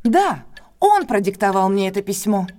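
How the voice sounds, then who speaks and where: A woman's voice: medium pitch, big pitch swings, normal speed, a little mellow, natural voice. An actress playing a proud, wronged and resolute woman in an audio drama, speaking earnestly, with emotion and formality. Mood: subdued, confiding. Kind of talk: audio drama acting, classic detective story.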